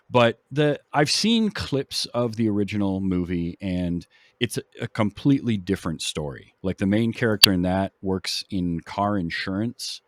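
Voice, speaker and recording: A man hosting a film podcast, casually explaining background in a halting, explanatory way. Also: sits low, no echo.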